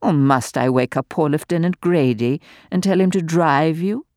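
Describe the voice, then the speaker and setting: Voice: mid-range voice, moderate pace, a little mellow, no echo, studio quality, lightly acted. A reader voicing a spiteful, venomous old aristocratic woman who sneers and gloats theatrically. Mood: sneering.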